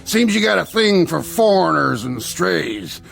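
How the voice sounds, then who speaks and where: High-pitched, gravelly, gleefully cruel Western peddler. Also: male voice, sing-song, a little slow, a bit bright, heavily acted. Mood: sly. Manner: taunting, sing-song salesman's drawl with cackling. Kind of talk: old-time radio drama acting.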